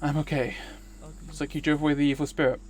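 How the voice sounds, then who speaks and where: A man's voice: on the high side, level tone, quick pace, slight background noise, lightly acted. A man voicing a melodramatic, pompous young man in a visual novel. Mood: encouraging. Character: self-important, theatrical young man, melodramatic mad-scientist type.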